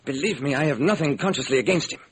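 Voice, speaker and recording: A man playing a nervous, middle-aged horror film actor in a radio drama, halting and melodramatic. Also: fairly high pitch, animated, husky, low-quality recording. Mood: grave, fearful. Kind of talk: classic radio drama acting.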